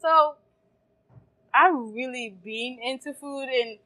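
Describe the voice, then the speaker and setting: A woman, in her thirties, tells stories with lively energy and frequent laughter. Her voice sits on the higher side for a woman. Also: takes it slowly. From Haiti. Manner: lively storyteller, laughs often. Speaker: guest, caterer and café owner. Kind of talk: casual interview storytelling. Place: farmers market.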